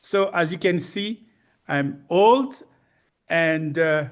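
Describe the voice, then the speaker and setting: A man gives a personal conference presentation in a halting, deliberate, formal and courteous manner. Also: on the high side. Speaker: conference speaker, retired ophthalmologist.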